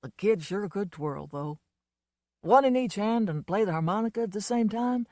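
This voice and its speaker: Woman doing a drunken braggart, loud, slurred, swaggering, swooping pitch, dry close sound. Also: animated, moderate pace, no echo, natural voice. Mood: maudlin boasting. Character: drunken blustering man, a boastful folksy entertainer.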